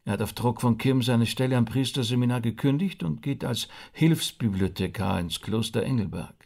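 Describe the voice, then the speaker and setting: Earnest, awkward middle-pitched man. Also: normal speed, gravelly, a little mellow. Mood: matter-of-fact. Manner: hesitant, polite. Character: earnest, awkward rabbi turned amateur investigator. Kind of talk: German radio drama dialogue.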